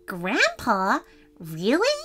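A woman's voice: on the high side, huge pitch swings, very slow, bright, forceful tone, faint music behind, heavily acted. A woman voicing a curious, forthright young boy who talks directly and questioningly in a children's story. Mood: incredulous. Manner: direct, incredulous, challenging.

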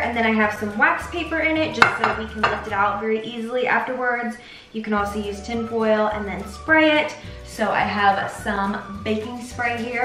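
A young North American woman chatting enthusiastically to camera as she hosts a casual cooking tutorial in her home kitchen. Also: a little high. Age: young adult. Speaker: baker hosting a recipe video. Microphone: camera mic a few feet away. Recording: roomy, distant camera sound with music.

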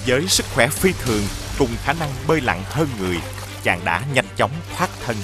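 A man narrating a scripted folk tale in a measured storytelling manner. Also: mid-range voice, big pitch swings. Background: background music.

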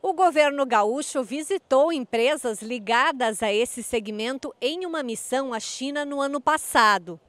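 A woman's voice: a little high, a bit bright, no room sound. A woman, reporting for TV news as a field reporter. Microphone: handheld microphone.

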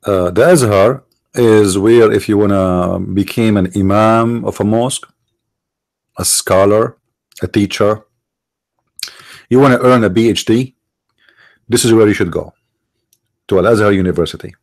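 A middle-aged man with an Arabic accent hosting a commentary monologue, halting, restarting words and stressing his points. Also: on the low side, vocal fry, a little mellow. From Middle East. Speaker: online show host.

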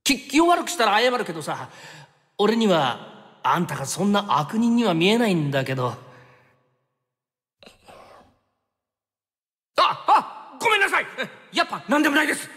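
A man's voice: sits high, huge pitch swings, fairly quick, sharp tone, full character voice. An actor playing an easygoing young male time traveler in an anime game, stammering and flustered, with casual yet nervously polite speech. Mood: apologetic, awkward. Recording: long reverb over background music.

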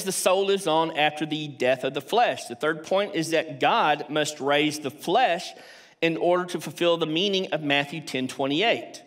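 A man in his sixties with a Texan accent, giving a measured academic lecture and reading quotations aloud from a stage lectern. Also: fairly high pitch, a bit bright. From Texas, United States. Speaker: theology professor, conference speaker. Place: large auditorium stage at a lectern.

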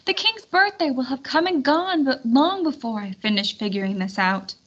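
A woman's voice: high voice, animated, moderate pace, slightly rough, dull audio. A woman voicing a harried, fretful medieval lady planning a feast in a storybook. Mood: flustered. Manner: exclaiming, fretful.

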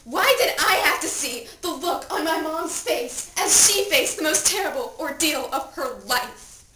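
A woman's voice: high voice, expressive, unhurried. A young woman performing a dramatic monologue as an anguished, pleading seventeen-year-old girl who has died, with theatrical delivery. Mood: sorrowful. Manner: theatrical, swinging from hushed telling to desperate cries. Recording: echoey room, distant mic, clear noise.

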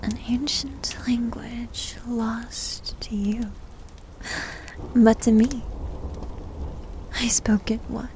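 A woman playing a needy, teasing succubus demon girl in an ASMR roleplay, speaking in a soft, breathy whisper with a coy manner. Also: fairly high pitch, fairly even intonation, drawn-out words, long pauses, noisy background. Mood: coy, intrigued.

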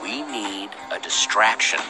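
A man's voice: lowish voice, animated, unhurried, music in the background, rough recording. An actor playing an earnest young male hero in a video game, speaking casually but with urgency. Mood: determined.

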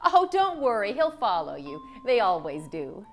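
A woman's voice: mid-range voice, huge pitch swings, normal speed, faint music behind, voice pushed up. A woman voicing a cheerful, reassuring female clerk or nurse in a picture-book read-aloud. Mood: breezy, reassuring.

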